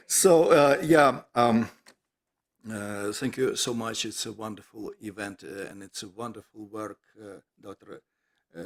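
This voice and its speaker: A man from Ukraine with a Ukrainian accent, giving an academic talk in a halting way with many fillers. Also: on the low side, gravelly. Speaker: lecture speaker.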